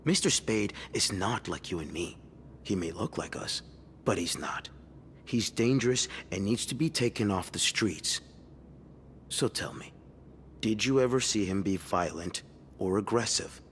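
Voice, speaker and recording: An actor playing a skeptical, middle-aged police detective in a mystery game, questioning in a probing, condescending manner. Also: male voice, on the low side, lively intonation, unhurried, fairly bright tone. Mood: stern warning. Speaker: video game character. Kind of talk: narrative mystery game voice acting. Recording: long room reverb, faint noise.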